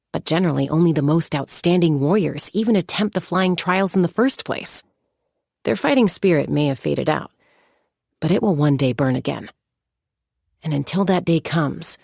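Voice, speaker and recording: An actress playing a composed, confident young warrior woman in a video game, speaking in a measured, articulate and reflective way. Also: sits low, gravelly, a little mellow, no room sound, clean studio sound. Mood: firm, earnest. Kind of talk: scripted game character monologue.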